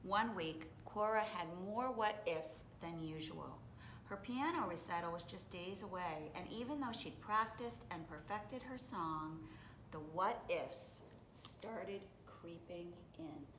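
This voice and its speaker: A woman reading a children's story aloud expressively, with deliberate, dramatic phrasing. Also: expressive, fairly bright tone. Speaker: storybook reader. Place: indoors, library. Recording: echoey room with faint rumbling noise.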